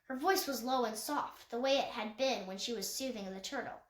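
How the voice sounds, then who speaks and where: Female story reader, higher pitch, occasional slips, roomy echo. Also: normal speed. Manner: occasional stumbles and self-corrections while reading. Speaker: audiobook reader. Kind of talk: scripted narration, reading a children's novel aloud. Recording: echoey untreated room.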